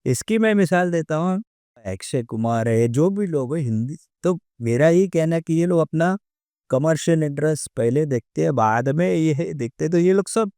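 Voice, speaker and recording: A man's voice: fairly high pitch, animated, normal speed, mellow, no room sound, clean studio sound. A man with an Indian accent giving his opinions as an interview guest, conversational and emphatic, repeating key phrases.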